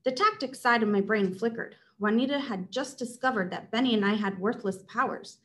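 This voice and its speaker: A woman's voice: on the high side, brisk, a bit bright. A woman reading a children's story aloud as its narrator. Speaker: read-aloud narrator at a school.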